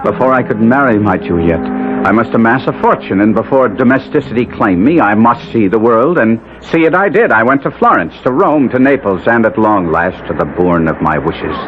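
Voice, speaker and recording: A man portrays a ruined young nobleman telling his tale in a vintage radio play. His voice is on the low side, formal and melodramatic, full of anguish. The old broadcast sounds dull and slightly noisy. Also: expressive, normal speed, natural voice. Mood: brooding. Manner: earnest, melodramatic, formal literary phrasing. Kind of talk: 1970s radio drama acting and narration.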